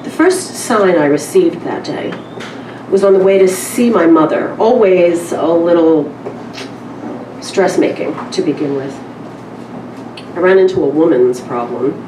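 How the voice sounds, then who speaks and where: A woman with a lowish voice speaks at a literary event in a candid, conversational manner. She is picked up from a distance in an echoing room. Her delivery is wry and informal, like a live reading among acquaintances. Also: unhurried, long pauses. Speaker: publisher introducing and reading a story at a literary event. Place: indoor live event room with small audience. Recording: roomy, distant mic with clear background noise.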